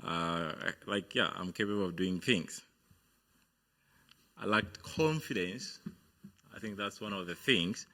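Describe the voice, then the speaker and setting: Man from Uganda, deep voice, sharp tone, halting on-stage speech full of ums. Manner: hesitant, many fillers and restarts. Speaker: guest speaker, business founder. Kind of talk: motivational speech. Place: on stage at a university event.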